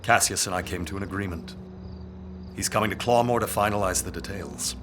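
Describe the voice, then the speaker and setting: A man's voice: deep voice, a little fast, background noise, natural voice. A man playing a brooding, dutiful aristocratic heir in a period audio drama, speaking formally and earnestly. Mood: brooding, resolved.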